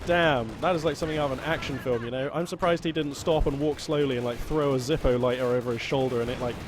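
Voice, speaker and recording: A man's voice: medium pitch, steady tone, fast talker. A British man giving rambling, jokey live commentary on a strategy game. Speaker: game caster. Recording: loud game battle sounds behind. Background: gunfire, artillery and vehicle noise from the game.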